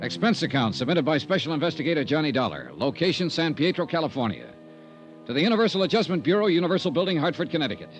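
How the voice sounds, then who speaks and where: An American actor playing a self-assured, persistent insurance investigator in a 1950s radio drama, speaking in a clipped, dry, probing way. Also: male voice, a little high, very animated, quick pace, music in the background. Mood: dry, narrating. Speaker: lead actor and narrator. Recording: noisy vintage radio recording.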